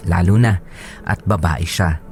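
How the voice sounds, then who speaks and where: A low-pitched husband confesses in a measured, earnest way. He is a reformed cheat telling his story in a radio drama, with music behind him. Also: a little mellow. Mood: remorseful, earnest. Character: remorseful former womanizer husband narrating his letter.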